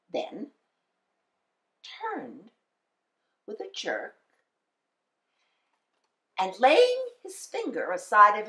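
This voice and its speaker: A woman reading a children's picture-book poem aloud as a storyteller, theatrical and deliberate, with dramatic pauses. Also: a little high. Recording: roomy, echoing voice recording.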